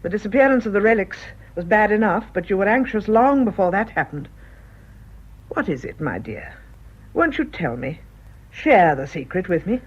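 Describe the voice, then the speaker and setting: A British actress playing a clergyman's forthright, protective wife in a radio drama, sharp-tongued but caring. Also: medium pitch, very animated, moderate pace, slightly rough. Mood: tender, concerned. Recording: muffled, dull radio drama recording.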